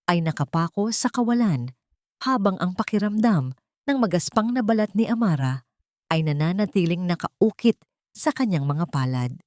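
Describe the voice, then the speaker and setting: Female storyteller, Filipino accent, lower register, solemn measured dramatic narration, dry close recording. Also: darkish tone, dry recording.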